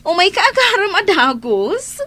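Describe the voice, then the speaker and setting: A woman's voice: very high voice, big pitch swings, normal speed, bright, forceful tone, heavily acted. A woman playing a coy, polite young single Filipino woman being courted in a radio drama. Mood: coy, surprised.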